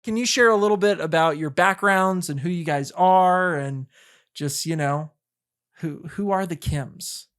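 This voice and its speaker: A man's voice: sits high, measured pace, a bit bright, smooth. A man hosting a podcast interview, chatting casually and joking with his guests. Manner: friendly, joking, hesitant restarts. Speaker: podcast host.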